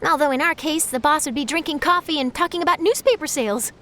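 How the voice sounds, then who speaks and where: A woman's voice: sits high, fast, slight background noise. A woman voicing an eager, polite young female journalist in a video game, chatty and earnest. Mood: enthusiastic. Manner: bright-eyed, chatty, earnest. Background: game music.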